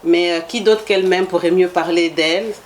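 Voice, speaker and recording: A woman's voice: fairly low pitch, steady tone, moderate pace, a little hiss or hum. A woman hosting a web TV interview, introducing her guest and asking questions in a friendly manner. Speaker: host.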